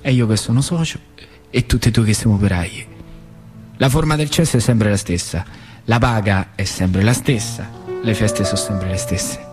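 An actor playing a plain-spoken factory worker who reminisces in a theatrical monologue, with a rural central Italian accent and colloquial, repetitive phrasing. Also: male voice, on the low side, normal speed, darkish tone, soft background music, lightly acted. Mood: wry, matter-of-fact. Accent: central Italian, rural Lazio. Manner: colloquial, repetitive, dwelling on words. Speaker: stage actor in a solo narrative play.

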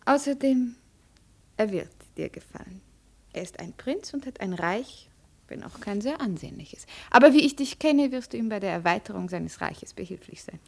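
An actress playing an imperious young princess, cold, scheming and deliberate, in 1950s radio play style. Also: female voice, medium pitch, expressive, vocal fry. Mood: cold command. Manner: haughty, deliberate, threatening.

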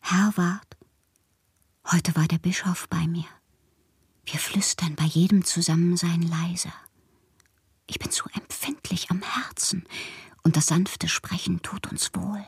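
A woman's voice: fairly low pitch, fairly even intonation, measured pace, pauses often, no echo, heavily acted. An actress playing a whimsical, lovelorn, melancholy woman poet performing her letters in a radio drama, theatrical and given to whispering. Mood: hushed, secretive. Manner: theatrical, swinging between breathy whispers and exclamations.

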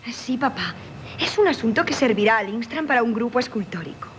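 Actress as a high-voiced Castilian daughter. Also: female voice, expressive, a little fast, close to an everyday voice. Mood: matter-of-fact. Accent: Castilian Spanish. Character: daughter in a dramatic radio play prologue. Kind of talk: 1970s Spanish radio drama acting. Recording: very noisy old radio recording.

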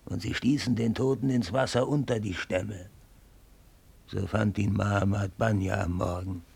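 An actor playing a sly one-eyed servant reporting to his raja, speaking in a measured, ceremonious storytelling manner in a radio drama. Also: lowish voice, fairly even intonation, a little mellow, exaggerated voice. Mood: grave, conspiratorial. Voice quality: gravelly, husky. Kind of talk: solemn German radio drama acting.

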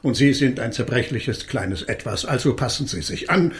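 A man's voice: medium pitch, animated, normal speed, close to an everyday voice. Smug, curt official acted by a man. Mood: smugly dismissive. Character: brisk, cynical official dispensing conformist advice. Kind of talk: radio play acting.

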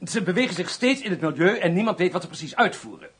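An actor in a Dutch radio play voicing a haughty, indignant public prosecutor with a pompous, cutting manner. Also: male voice, sits high, big pitch swings, quick pace, breathy edge, heavily acted.

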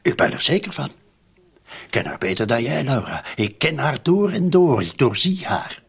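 Cynical Flemish murder accomplice, radio drama. Husky voice, very animated delivery, streetwise and pressing. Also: male voice, mid-range voice, normal speed, full character voice. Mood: insistent, cynical. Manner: insistent, colloquial, spelling things out.

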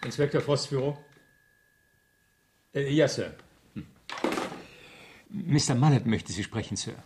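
A man's voice: mid-range voice, lively intonation, measured pace, lightly acted. An actor playing a formal, reserved, disapproving subordinate policeman in a 1970s German radio crime play. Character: stiff, correct, disapproving new policeman, male subordinate. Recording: 1970s radio recording, faint noise.

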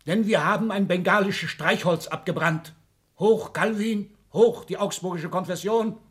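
An actor playing a raging, gloating old tycoon father in a theatrical 1960s German radio play, ranting from gasps to shouts. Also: sits high, a little slow. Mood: gloating, mocking. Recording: echoey room, distant from microphone.

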